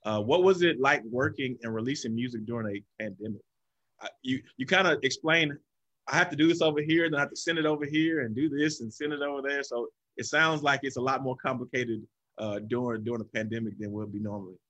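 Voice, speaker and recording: Male host, mid pitch, upbeat and encouraging with his guests. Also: quick pace, fairly bright tone, rough recording. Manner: upbeat and affirming. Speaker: interview host. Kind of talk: live interview hosting.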